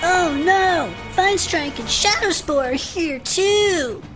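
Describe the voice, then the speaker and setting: A melodramatic cartoon action figure in a mock toy commercial. The voice is very high and animated, with theatrical exclamations over faint music. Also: female voice, slow pace, exaggerated voice. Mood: alarmed. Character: melodramatic toy-ad cartoon heroes and gloating villains.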